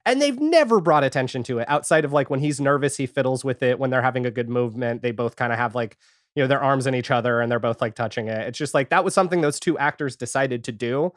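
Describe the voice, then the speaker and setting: A man's voice: medium pitch, expressive, fast, no echo, studio quality. A man chatting casually as a podcast guest, enthusiastic and rambling, with lots of filler 'like'. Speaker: podcast guest, audio fiction creator. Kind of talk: casual chat.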